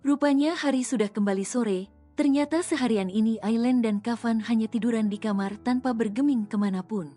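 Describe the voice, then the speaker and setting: A woman narrating a romance audio novel in a steady, smooth storytelling manner. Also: a little high, brisk. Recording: soft music underneath.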